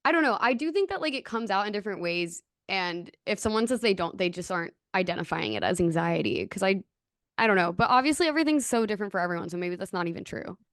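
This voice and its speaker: A woman in her early twenties speaks casually on a podcast with a smooth, clear voice at a medium pitch. She comes across as earnest and hedges her thoughts. The sound is close and dry. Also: big pitch swings, fast talker, a bit bright, no room sound. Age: early 20s. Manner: hedging, earnest.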